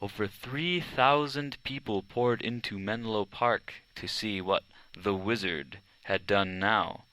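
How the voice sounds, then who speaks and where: Male narrator, somewhat low pitch, smooth and resonant, deliberate storytelling, children's nonfiction read-aloud. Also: pushed and bright, rough recording. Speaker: audiobook narrator. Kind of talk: scripted narration of a children's biography.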